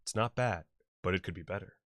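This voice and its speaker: A man's voice: sits low, quick pace, fairly bright tone, slight background noise. A man hosting a casual movie-talk livestream, rambling, swearing freely and mocking himself. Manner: rambling, profane, self-mocking. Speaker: podcast host.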